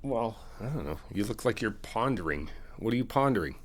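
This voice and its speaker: A young man in an ASMR comfort roleplay, talking to the listener as a reassuring friend in a chatty way with soft laughs. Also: on the low side, animated, fairly quick.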